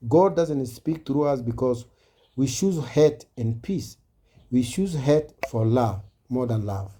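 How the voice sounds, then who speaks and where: Mellow African man making an earnest appeal. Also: medium pitch, animated, slow. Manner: earnest, exhorting, repetitive. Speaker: speaker addressing online viewers. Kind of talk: impassioned informal speech to camera.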